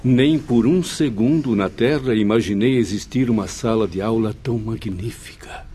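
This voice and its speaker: An actor playing a respectful, wonder-filled spirit pupil in a radio drama. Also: male voice, lowish voice, normal speed, mellow, husky. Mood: deferential, amazed.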